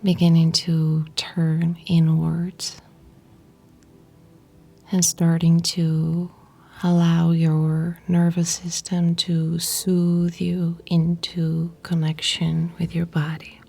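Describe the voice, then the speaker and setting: A woman guiding a yoga nidra meditation in a breathy, whispery, husky voice, soothing and unhurried, with pauses between short phrases. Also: fairly low pitch, completely flat delivery, warm tone, faint music behind. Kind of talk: guided meditation narration.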